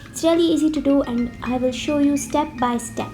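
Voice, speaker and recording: A woman with a fairly high, mellow voice walks through a drawing tutorial in a friendly, easy manner. She sounds a little under the weather. Soft music plays faintly underneath. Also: fairly quick. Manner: friendly, relaxed, explaining as she draws. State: slightly sick voice. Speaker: art tutorial host. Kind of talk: step-by-step drawing tutorial voice-over. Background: faint background music.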